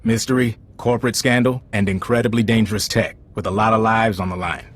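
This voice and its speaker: An actor playing a frank intelligence agent giving an informal, wry briefing in a video game. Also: male voice, fairly low pitch, fairly even intonation, fairly quick, fairly bright tone, slight background noise, natural voice. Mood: earnest, wry.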